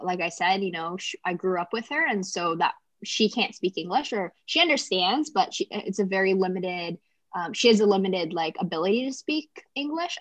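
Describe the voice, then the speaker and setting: A woman's voice: medium pitch, fairly bright tone, dry recording. A young woman from Canada, chatting casually in an interview and often saying "like". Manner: chatty, lots of "like" fillers.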